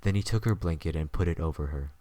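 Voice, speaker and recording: Guy narrating a story, really deep voice, no room sound, scripted read. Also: fast talker, dark tone, dry recording.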